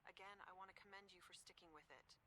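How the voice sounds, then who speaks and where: An actress playing a calm, supportive female therapist in a game, speaking in a soft, measured way. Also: mid-range voice, slight background noise, lightly acted. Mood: reassuring. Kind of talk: voiced game dialogue.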